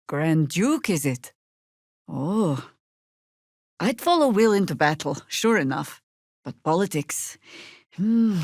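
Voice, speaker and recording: An actress playing a seasoned, middle-aged druid warrior woman in a fantasy video game, commanding and wry, with a dry, deliberate delivery. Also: lowish voice, no echo. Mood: steady, sardonic.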